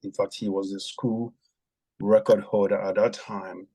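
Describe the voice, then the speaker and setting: A man with a somewhat low voice tells his story as a podcast guest. He speaks haltingly, filling pauses with frequent ums. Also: flat delivery, mellow, slightly rough, rough recording. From Ghana. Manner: hesitant, many ums and pauses. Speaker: guest, former javelin athlete.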